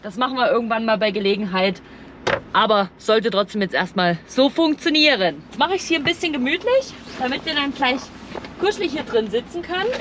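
A woman talks informally as a camping vlogger. She explains things in a chatty, everyday way, with clear outdoor and vehicle noise around her voice. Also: on the high side, animated, a little fast. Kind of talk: casual vlog narration.

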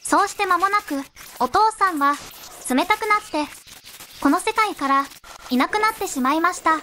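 A young-sounding synthetic woman narrating a fairy tale in measured storybook phrasing. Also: extremely high pitch, expressive, sharp tone, a little hiss or hum.

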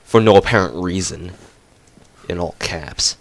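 A man's voice: deep voice, measured pace, gravelly, no echo. A young man giving casual, profane gameplay commentary, ranting and mocking while congested from a cold. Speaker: gamer commentator.

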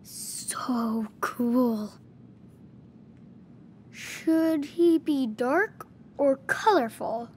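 A man's voice: very high-pitched, very slow, long pauses, a little hiss or hum, exaggerated voice. A playful, imaginative ten-year-old boy. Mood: musing. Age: child figure. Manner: excited, playful, talks to himself. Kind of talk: video game voice acting.

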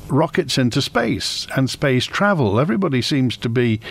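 Radio host guy, chatty, thinking out loud, mid-pitched voice. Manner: conversational, musing aloud, reading listener messages. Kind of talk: radio talk show hosting. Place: radio studio.

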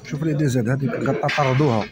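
A man's voice: medium pitch, steady tone, rapid-fire, over loud music. A man with an Oran Algerian Arabic accent chatting casually while eating, filming his meal on a phone at an outdoor restaurant. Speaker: food vlogger. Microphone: phone camera held over the plate.

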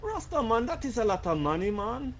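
A man plays a pushy Rastafarian in a game. His talk is quick and insistent, creaky at the edges. The recording is slightly dull. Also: extremely high pitch, very animated, slight background noise, full character voice. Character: pushy Rastafarian man looking to buy drugs. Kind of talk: improvised game roleplay.

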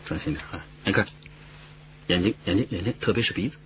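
An actor with a Mainland Chinese Mandarin accent playing a bossy, wry police squad chief in a radio drama. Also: male voice, low voice, fast, fairly bright tone. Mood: focused, commanding. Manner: brusque, commanding, repeats orders. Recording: muffled, noisy broadcast radio drama.

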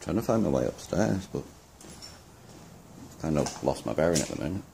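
A man from the UK exploring a dark abandoned pub, talking to his handheld camera in low, muttered asides. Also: very animated, normal speed, vocal fry, background noise. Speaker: urban explorer. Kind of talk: quiet solo exploring commentary.

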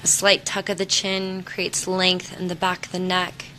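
A woman with a slightly low voice guides a body-scan meditation. She speaks very slowly and soothingly, leaving space between phrases. There is faint noise behind her. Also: monotone, sharp tone, rough recording. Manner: soothing, unhurried, with long pauses.

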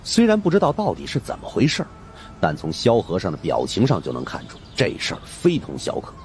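A man narrating a supernatural mystery audiobook as an animated storyteller. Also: low voice, brisk, soft background music.

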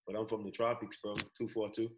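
A young Bahamian man with a fairly low voice, talking in an easy, laid-back way over a webcam from a small room. He drops "bro" and "you know" into nearly every thought. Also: little pitch movement, quick pace. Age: young adult. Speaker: student athlete guest. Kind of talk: casual video-call interview. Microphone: webcam on video call.

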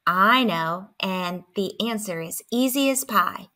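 A woman's voice: mid-range voice, animated, slow, sharp tone. A woman voicing a curious, cheerful alien who patiently explains math in a children's storybook. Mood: eager. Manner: inquisitive, encouraging, explanatory.

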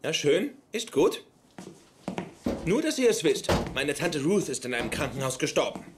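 An actor playing a smug, fussy young physicist in a dubbed sitcom, speaking in a precise, lecturing way. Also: male voice, on the high side, big pitch swings, normal speed, background noise. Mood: fussy, anxious. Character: pedantic, germ-phobic young male physicist, smug and fussy.

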